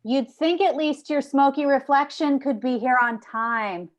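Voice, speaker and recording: A woman's voice: high voice, a little slow. A woman playing a sarcastic, insulting sitcom receptionist with a pointed manner, over a lo-fi video call. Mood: scolding. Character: Amy, overly sarcastic, insulting female receptionist. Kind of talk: sitcom table read.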